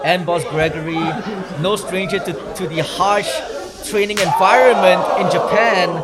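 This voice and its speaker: A man giving live play-by-play wrestling commentary as a commentator, measured and building his phrases for emphasis. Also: high-pitched, steady tone. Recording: noisy arena recording with loud crowd. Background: loud wrestling crowd.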